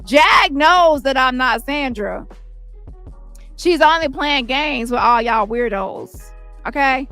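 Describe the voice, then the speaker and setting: A woman streamer ranting with heavy profanity, roasting critics with quick insults in a squeaky voice. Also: sharp tone, faint music behind. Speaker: commentary streamer. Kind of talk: ranting commentary.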